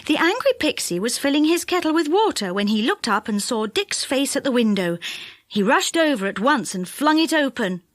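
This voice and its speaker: Animated, high-voiced woman storyteller. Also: moderate pace, no echo, studio quality. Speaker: audiobook narrator. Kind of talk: scripted children's story narration.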